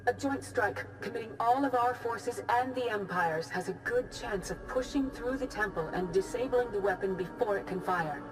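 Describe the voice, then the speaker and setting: An actress playing a dignified, formal Jedi leader in a video game cutscene. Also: female voice, on the high side, moderate pace, few pauses, over music, close to an everyday voice, rough recording. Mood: resolute.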